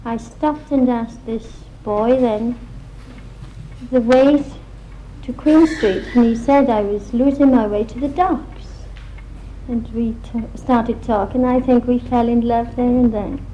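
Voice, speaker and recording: An older woman with a Welsh accent recalls her life in a plain storytelling way. Her voice is mellow and somewhat high. The old recording sounds dull, with a faint hiss underneath. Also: steady tone, slow pace. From Wales. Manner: plain storytelling with small laughs. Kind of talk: recorded personal reminiscence.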